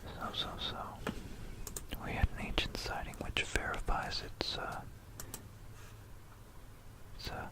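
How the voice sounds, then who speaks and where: An ASMR host telling history in a breathy, unvoiced whisper, meandering with playful asides to the listener, close to a binaural microphone. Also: slow pace, pauses often, low-quality recording.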